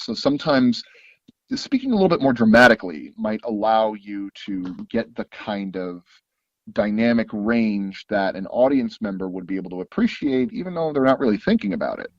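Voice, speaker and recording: A man telling anecdotes casually as an interview guest, animated and acting out bits of dialogue as he goes. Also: fairly low pitch, moderate pace, no room sound, highs cut off. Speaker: guest, voice actor and audio drama producer.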